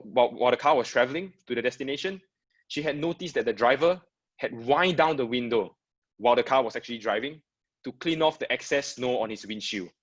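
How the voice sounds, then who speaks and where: A man from Singapore talks through an online design presentation in a loose, chatty way, often repeating himself and leaning on fillers. His voice sits at an ordinary pitch and comes through a dull, lo-fi video call line. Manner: casual, repeats words, many fillers. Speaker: guest speaker, product designer. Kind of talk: webinar presentation.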